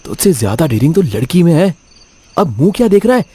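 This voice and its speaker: A man's voice: a little high, sing-song, quick pace, mellow, slightly rough. A man voicing a cheeky young college buddy in a horror cartoon, teasing and goading. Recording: music behind the voice.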